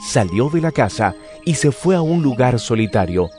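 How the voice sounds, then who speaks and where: A man's voice: mid-range voice, big pitch swings, moderate pace. A man narrating scripture as scripted audio drama in a solemn storytelling manner. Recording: music bed behind the voice.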